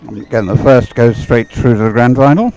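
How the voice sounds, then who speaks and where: A man's voice: medium pitch, fairly quick, darkish tone, poor audio. A man calling a live race in short, informal phrases from an outdoor sporting venue. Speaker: race commentator.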